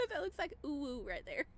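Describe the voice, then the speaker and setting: High-voiced woman gushing through a let's play. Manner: gushing, exclamatory, playful cutesy slang. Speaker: gaming video host. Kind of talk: excited let's play commentary with read-aloud game text. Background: music.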